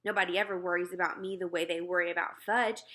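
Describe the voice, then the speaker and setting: A woman narrating a children's novel as an expressive read-aloud. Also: fairly low pitch, fast talker.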